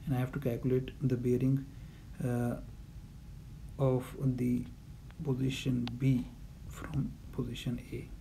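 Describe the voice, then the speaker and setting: A man's voice: mid-range voice, vocal fry, dark tone, slight background noise. A man teaching navigation calculations in a voice-over lesson, methodical and repetitive, with frequent fillers. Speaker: navigation instructor. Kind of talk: explanatory lesson over handwritten notes.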